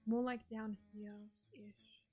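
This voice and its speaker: Australian woman, game streamer; soft and breathy, a touch high-pitched, chatty, answers chat as she goes. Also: flat delivery, slow pace, phone-quality sound, soft background music. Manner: chatty, reads chat messages aloud and answers them. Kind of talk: casual chat with stream viewers.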